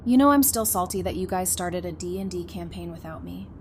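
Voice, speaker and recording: Woman acting wry, guarded survivor, lowish voice. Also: a little fast, noisy background, close to an everyday voice. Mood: wry, mock-aggrieved. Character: wry, guarded, tough-minded female therapist, survivor. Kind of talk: fan audio drama acting, conversational.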